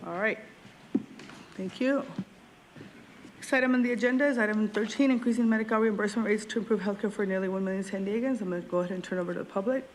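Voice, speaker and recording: Board chair lady moving fast through the agenda. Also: medium pitch, a little hiss or hum, low-quality recording. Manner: brisk, businesslike. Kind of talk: chairing a meeting.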